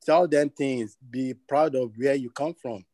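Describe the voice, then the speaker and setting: A Nigerian man with a Nigerian accent, a webinar panelist sharing friendly, lively advice over a video call. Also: medium pitch, a little slow, no room sound.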